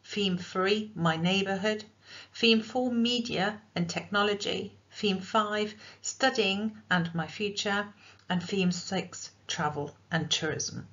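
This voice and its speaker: A woman's voice: mid-range voice, takes it slowly, a bit bright. Brief: female languages teacher, explanatory tone, muffled lo-fi recording. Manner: clear, explanatory teacher delivery. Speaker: subject leader for languages. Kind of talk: school curriculum presentation.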